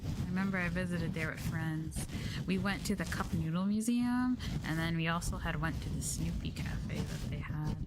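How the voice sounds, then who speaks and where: A woman with an American accent talking softly and casually as an ASMR streamer, gently and unhurriedly replying to her chat. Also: on the low side, moderate pace, heavy background noise. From United States.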